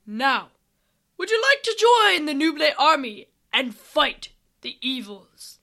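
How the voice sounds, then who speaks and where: A woman voicing a commanding, formal male leader of an otherworldly army in a storybook, loud and declamatory. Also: high voice, big pitch swings, slow, vocal fry, exaggerated voice. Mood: proud, grave. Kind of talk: storybook reading.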